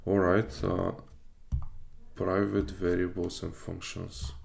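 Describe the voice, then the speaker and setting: A man's voice: deep voice, level tone, slow pace, gravelly, slight background noise. A man from Bulgaria livestreaming his study session, casually reading aloud and hesitantly thinking through it as he goes. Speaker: live streamer learning web development.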